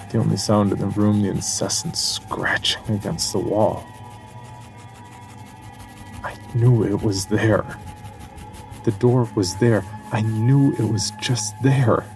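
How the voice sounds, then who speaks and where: A haunted man looking back on the terror that broke his mind. His voice is low and gravelly, delivered with grave, dramatic deliberation as first-person horror narration over faint music. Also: a little mellow, low-quality recording. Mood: obsessive, desperate.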